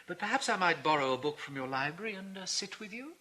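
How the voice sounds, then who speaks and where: An actor playing a mild, bookish priest, gentle and hesitant in a radio drama. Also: male voice, high-pitched, animated, fast, heavily acted. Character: mild, bookish, thoughtful English priest sleuth.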